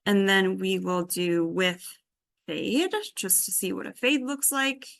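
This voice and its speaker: A woman's voice: medium pitch, lively intonation, takes it slowly, creaky, a bit bright. A woman giving an informal live coding demonstration as instructor, tentatively thinking aloud as she works. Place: indoor group workshop session.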